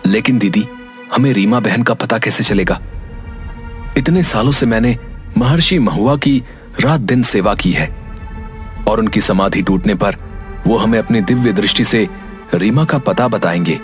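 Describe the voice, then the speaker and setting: Man playing grown younger brother, earnest, respectful, low pitch, cartoon fairy tale, music behind. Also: measured pace, pauses often, close to an everyday voice. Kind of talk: animated fairy-tale story.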